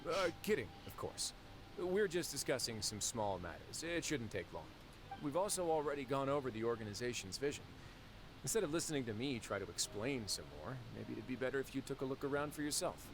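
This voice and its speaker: An actor playing a composed, wry duke in a video game, measured and dryly teasing. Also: male voice, on the low side, close to an everyday voice. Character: composed, wry duke, unhurried man in authority.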